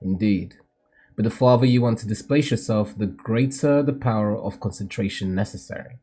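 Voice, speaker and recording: A man's voice: fairly low pitch, mellow, slightly rough. Man putting on a solemn, theatrical manga mentor. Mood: solemn, measured. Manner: theatrical, measured, formal delivery. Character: solemn, cryptic male mentor who foresees the future. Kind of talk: dramatic manga read-aloud.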